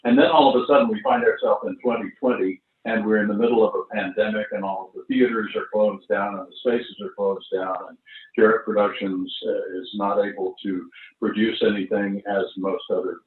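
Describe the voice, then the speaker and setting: An older man explaining things as a guest in a measured storytelling way, on an echoey, distant, thin recording. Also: lowish voice, flat delivery, phone-quality sound. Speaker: guest, actor and theatre producer. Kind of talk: conversational explanation.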